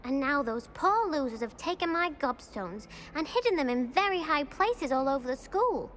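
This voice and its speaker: A woman's voice: high voice, lively intonation, normal speed, fairly bright tone, slight background noise. An actress playing a self-pitying, indignant British schoolgirl in a video game, prim and plaintive in a dramatic way. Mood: aggrieved. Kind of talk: video game cutscene acting.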